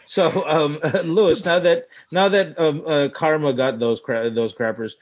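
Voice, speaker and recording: Jokey podcast dude, high husky voice, bounces around a lot. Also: male voice, steady tone, a little slow. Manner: laughs often, jokey. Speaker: podcast co-host. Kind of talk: casual chat. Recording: dull lo-fi sound.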